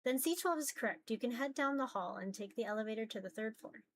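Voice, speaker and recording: A woman's voice: fairly high pitch, animated, quick pace, a little hiss or hum, lightly acted. A woman voicing a polite, efficient female receptionist with formal customer-service manners in a visual novel.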